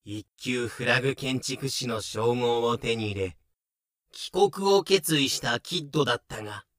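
A man's voice: medium pitch, measured pace, a bit bright, no echo, clean studio sound. A man giving scripted, comedic narration for a pirate audio drama.

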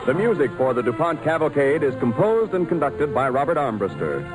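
A man's voice: fairly high pitch, big pitch swings, brisk, low-quality recording. Male vintage radio announcer, scripted, dramatic, swooping intonation, formal, music underneath. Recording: old radio broadcast, music behind.

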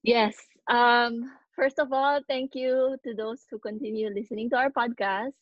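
Filipino woman in her thirties, podcast co-host, chatty storyteller, a bit high, dull lo-fi sound, dry. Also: fairly even intonation, a little slow, no room sound. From Philippines. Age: 30s. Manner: chatty storyteller, frequent ums and laughs. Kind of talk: casual chat mixing English and Tagalog.

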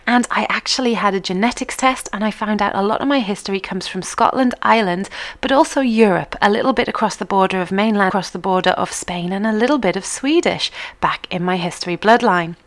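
A woman's voice: medium pitch, brisk. Chatty northern English woman hosting livestream. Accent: mixed northern English. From England. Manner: chatty and upbeat, addresses viewers directly. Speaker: online line dancing group host. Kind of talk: casual livestream chat.

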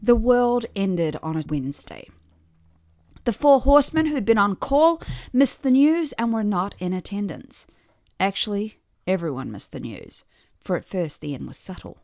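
A woman's voice: medium pitch, dry recording. A middle-aged woman reading a scripted first-person story with wry, dry humour. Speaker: podcast author and narrator.

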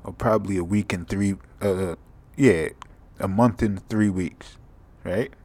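A sleepy young man with a monotone, mumbly voice, hosting a rambling, profane solo podcast. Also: low voice, unhurried. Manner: casual, profane, drifting from thought to thought. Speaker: podcast host.

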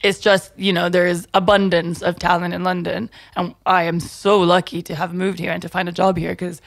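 A young Italian woman with an American accent talks freely as a podcast guest, her voice dipping into a gravelly fry. She rambles in a quick, friendly flow and laughs at her own word slips. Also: lowish voice, level tone. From Italy. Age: 20s. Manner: chatty and fast-flowing, laughs at herself. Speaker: podcast guest, music collective organizer. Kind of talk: casual podcast interview.

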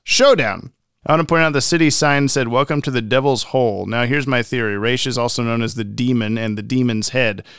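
A man with a clean, smooth, slightly high voice co-hosts a podcast in a very dry-sounding space. He reads listener messages aloud in a casual way and drops in joking asides. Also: brisk, a bit bright, no room sound. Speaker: podcast co-host.

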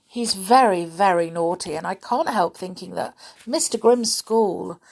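A woman's voice: lowish voice, unhurried, vocal fry, husky, heavily acted. A woman voicing a sensible young girl in a children's story, firm but kindly.

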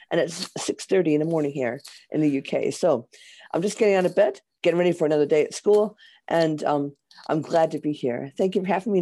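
A hoarse, middle-aged woman with an American accent, answering interview questions in a chatty, explanatory way with some hesitation. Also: low-pitched, warm tone. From Michigan, USA. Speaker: guest author.

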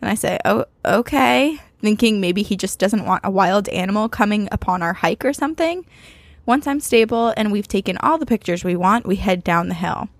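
Creaky-voiced woman co-hosting a podcast. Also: medium pitch, normal speed, no echo. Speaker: podcast co-host. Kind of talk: casual chat and reading listener emails aloud.

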